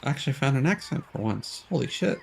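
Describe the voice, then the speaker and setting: A man's voice: medium pitch, very animated, fast, creaky, slight background noise. A man streaming a game, talking in a casual, conversational way. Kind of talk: casual gameplay chat.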